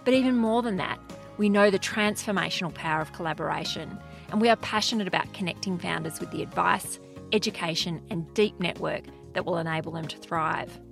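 A woman hosting a podcast, reading a scripted introduction clearly and then asking interview questions. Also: fairly low pitch, a little fast, a bit bright, soft background music. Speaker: podcast host.

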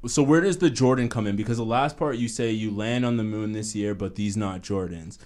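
A man from Ghana hosts a casual podcast interview in a low voice. He asks his questions in a friendly way and teases his guest, laughing often. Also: brisk, darkish tone. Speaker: podcast host.

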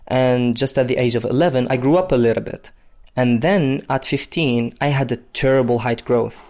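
Congolese man in his thirties, conversational first-person storytelling, mid-pitched voice. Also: moderate pace, darkish tone. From Democratic Republic of Congo. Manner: conversational, with frequent fillers. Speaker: interviewee, basketball player.

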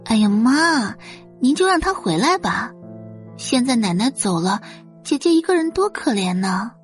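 A woman's voice: a little high, big pitch swings, normal speed, faint music behind, pitched above the performer's own voice. A woman voicing a young rich daughter in an audio drama, falsely sweet, cooing and wheedling. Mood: coaxing, sweet.

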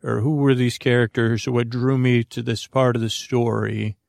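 Creaky-voiced man rambling a bedtime monologue. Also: medium pitch, flat delivery, a little mellow, no echo, studio quality. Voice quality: creaky, gravelly voice. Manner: meandering, halting, goofy, trails into tangents. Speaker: sleep podcast host.

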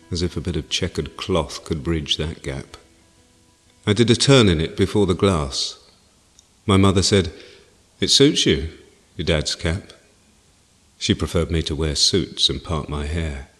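A man's voice: low-pitched, a little slow. A man giving a reading of a poem, line by line. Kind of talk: poetry reading. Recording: reverberant, plucked guitar music behind. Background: plucked guitar music.

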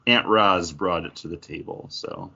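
Animated man co-hosting a podcast. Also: sits low, measured pace, gravelly, husky, muffled recording. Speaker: podcast co-host. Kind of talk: casual podcast chat.

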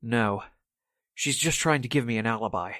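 An actor playing a mild-mannered, evasive son under suspicion, hesitant and polite, in 1940s-style radio drama. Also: male voice, medium pitch, moderate pace, a bit bright, dry recording, clean studio sound, natural voice. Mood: resigned, pleading.

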